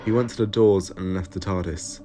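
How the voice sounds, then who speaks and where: A man's voice: sits low, steady tone, brisk, noisy background. A man reading scripted narration for an audio story. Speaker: audiobook reader.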